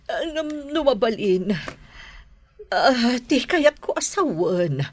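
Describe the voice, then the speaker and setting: Indignant mother woman acting, raised voice, slow emphatic delivery, Ilocano accent, radio drama. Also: a little high, big pitch swings, exaggerated voice.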